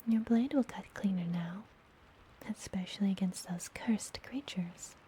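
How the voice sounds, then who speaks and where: A woman playing a friendly, gentle witch healer in an ASMR fantasy roleplay, whispering softly and soothingly, as if up close. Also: medium pitch, lightly acted. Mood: curious, helpful. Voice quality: soft, breathy whisper. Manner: slow, soothing, intimate personal attention. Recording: close whisper with background noise.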